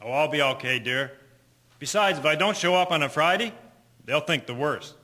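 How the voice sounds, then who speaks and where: A man playing a calm, kindly husband and father in a 1920s audio drama. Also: on the high side, normal speed. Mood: reassuring. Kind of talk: scripted audio drama acting.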